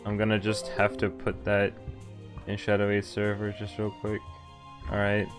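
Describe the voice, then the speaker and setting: A man's voice: on the low side, monotone, moderate pace. A man streaming a visual novel, reading it aloud casually with asides to chat and often stumbling and correcting himself. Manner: stumbles over read lines and corrects himself. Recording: music playing behind the voice. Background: background music from the game.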